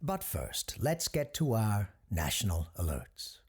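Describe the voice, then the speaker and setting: An actor playing a composed, earnest parapsychologist hosting an eerie radio show, speaking in a measured, formal announcer style. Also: male voice, lowish voice, big pitch swings, breathy edge, exaggerated voice. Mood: welcoming, formal. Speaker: host of a paranormal call-in radio show. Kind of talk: scripted radio-show narration.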